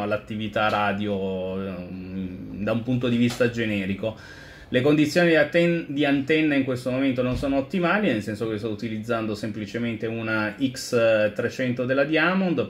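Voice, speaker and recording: A man chatting over amateur radio in a courteous, methodical way with hesitant phrasing. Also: mid-range voice. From Italy. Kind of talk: amateur radio contact, conversational. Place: indoor home radio station.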